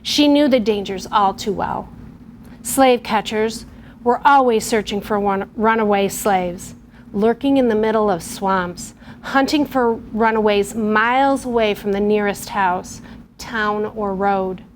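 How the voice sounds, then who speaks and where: A middle-aged woman teacher, giving a lesson and reading a story aloud to young children in a patient, clear way. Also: mid-range voice, a little slow, a little hiss or hum. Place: television studio or classroom.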